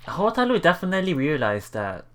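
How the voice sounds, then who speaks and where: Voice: medium pitch, very animated, brisk, fairly bright tone. A let's play host reading game text aloud with casual commentary, often stumbling and repeating words.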